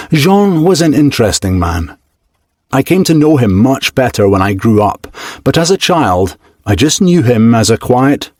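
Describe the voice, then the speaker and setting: Low-voiced man narrating, dry and close. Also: no echo. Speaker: audiobook narrator. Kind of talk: first-person scripted narration.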